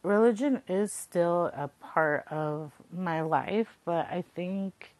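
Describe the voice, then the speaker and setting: A woman's voice: fairly low pitch, slow, darkish tone. A young woman from Southern California, reflecting casually and conversationally, peppering her talk with "like" and checking in with "right?". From Southern California, Coachella Valley. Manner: informal, conversational, frequent 'like' and tag 'right?'. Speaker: podcast speaker.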